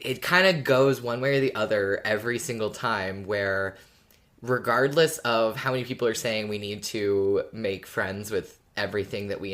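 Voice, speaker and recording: A podcast panelist with a middling pitch talks casually as a fan. The delivery is reflective and a little hesitant, like someone reasoning out loud. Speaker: podcast co-host or guest discussing an audio drama.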